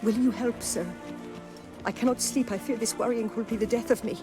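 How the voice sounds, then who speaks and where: A woman's voice: a little high, fairly even intonation, a little fast, over music, natural voice. An actress playing an anxious young noblewoman in a fantasy video game, speaking in a formal, florid, theatrical manner. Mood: worried. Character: anxious, courtly young noblewoman pleading for her fiancé. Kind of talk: video game character acting.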